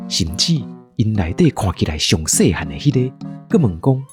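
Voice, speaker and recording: A man's voice: on the low side, very animated, moderate pace, over music. A man with a Taiwanese accent hosting and narrating a scripted children's story in radio-drama style. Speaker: storyteller and show host.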